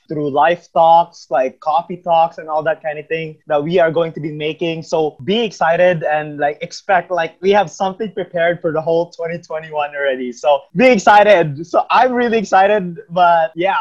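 A young man in his twenties from the Philippines talks on a home video call, chatty and quick to laugh, his voice sitting a bit higher than most men's. The webcam audio is slightly dull and lo-fi. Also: fairly even intonation. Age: 20s. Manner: chatty, laughs a lot, many "like" fillers. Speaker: channel co-host. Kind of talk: casual video-call chat. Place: home room, indoors.

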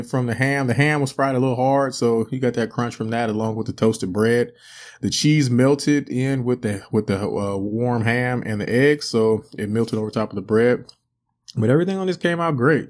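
A man in his thirties with a lowish voice talks through his breakfast recipe in a loose, unhurried way. He pauses often with "uh" and "um" as he explains each step. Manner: relaxed, rambling with many fillers. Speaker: cooking channel host. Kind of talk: casual cooking voice-over. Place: home kitchen.

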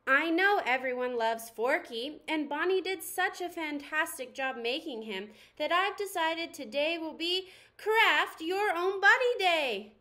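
A woman plays a cheerful kindergarten teacher in a storybook. She announces things to the class in a high, bright, upbeat voice. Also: animated, unhurried, few pauses, exaggerated voice.

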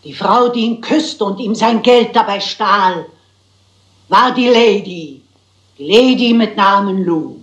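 An actress in a German crime drama playing a prim, plucky older spinster sleuth, speaking in a theatrical, articulate, dignified manner. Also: female voice, medium pitch, slow, roomy sound, lightly acted. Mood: dramatic reciting.

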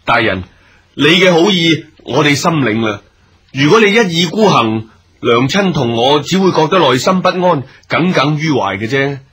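A man's voice: fairly high pitch, animated, moderate pace, fairly bright tone, slightly rough, exaggerated voice. A man acting a respectful, principled junior officer and devoted son in a period radio drama, in deferential Hong Kong Cantonese. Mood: respectful, firm. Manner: deferential, formal.

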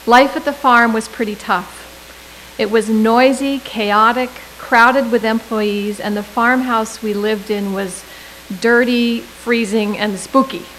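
A middle-aged woman delivering a prepared commencement speech at a podium microphone, with measured delivery and deliberate emphasis. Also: on the high side, steady tone, a bit bright, a little hiss or hum, rough recording. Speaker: commencement speaker.

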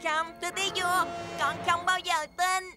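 A woman's voice: very high voice, flat delivery, measured pace. A woman playing an earnest, tender-hearted young male gnome, pleading, in a cartoon dub. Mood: distressed. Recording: music behind the voice.

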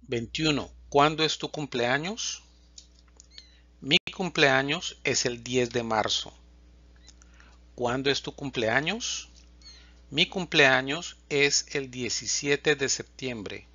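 Slow, bright-toned man teaching Spanish. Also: medium pitch, no echo, lo-fi sound. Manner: reads questions and answers slowly and distinctly, pausing between lines. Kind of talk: slow, clear language lesson reading example dialogues.

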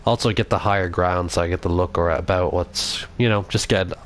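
A man's voice: sits low, steady tone, a little fast. A young Irish man from Dublin commentating his game live, rambling, swearing and answering chat. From Ireland. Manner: rambling, swears often, reads chat aloud. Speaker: livestreaming gamer. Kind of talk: casual live commentary while playing.

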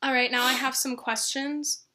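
A woman's voice: high-pitched, little pitch movement, normal speed, pushed and bright, slight background noise. A woman interviewer reading out prepared questions to her subject.

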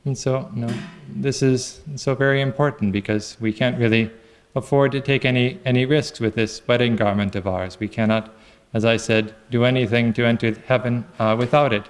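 Priest in his forties, mid-pitched, halting homily delivery, echoing church. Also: fairly even intonation. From England. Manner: halting, repeats words, many "you know" fillers. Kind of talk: sermon. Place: large church sanctuary. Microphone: lectern microphone. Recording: echoey church with long reverb.

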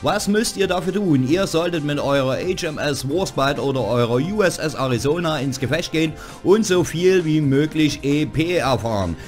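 A man's voice: on the high side, animated, normal speed, few pauses. A man hosting a gaming news show, reading a scripted voice-over with wry joking asides. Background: background music.

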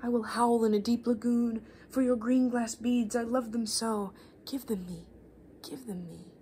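A covetous storybook goblin, read by a woman, pleads and yearns in a soft, breathy voice. The demands are insistent, over a faint room hiss. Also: fairly high pitch, fairly even intonation, measured pace, close to an everyday voice. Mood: pleading. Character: pleading, covetous goblin howling for glass beads.

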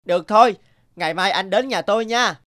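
A man's voice: sits high, a little fast, natural voice. A man voicing a good-natured, earnest otter in a children's cartoon. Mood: friendly. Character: honest, good-natured otter, later quietly vengeful.

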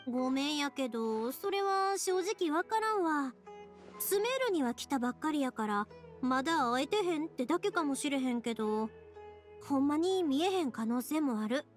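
A woman voicing a warm, upbeat young big-sister figure in an anime game, reassuring and earnest, with a Kansai accent. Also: sits high, expressive, moderate pace. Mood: encouraging. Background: music.

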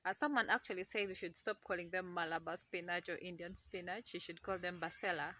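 A young Ugandan woman with a Ugandan accent, chatting excitedly to a phone camera in her outdoor garden. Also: on the low side, a little fast. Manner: excitable, chatty, laughs often. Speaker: gardening vlogger. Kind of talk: casual chat to camera. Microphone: phone camera held close.

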